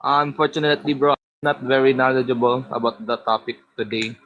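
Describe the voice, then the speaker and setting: A man's voice: mid-range voice, steady tone, a little fast, no echo, dull audio. A man from Indonesia, a guest in a livestream debate, answering casually and calling people bro. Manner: casual, says bro a lot.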